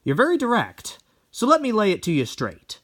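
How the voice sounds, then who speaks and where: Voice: mid-range voice, wildly swooping pitch, moderate pace, a bit bright, slightly rough, pitched above the performer's own voice, full character voice. A performer playing a cocky, proud, hot-tempered male painter in a dramatic horror reading, blunt and wry. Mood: wry, confident.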